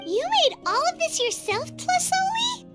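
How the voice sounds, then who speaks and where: A tiny female fairy squeaks in an extremely high, childlike voice that swings about excitably. Clear music plays behind this game dialogue. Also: moderate pace. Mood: surprised, questioning. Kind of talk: scripted video game voice acting.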